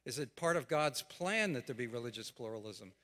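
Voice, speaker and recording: A man giving an earnest, deliberate, unscripted address as an audience contributor at a conference. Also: medium pitch, expressive, pushed and bright. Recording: long hall reverb.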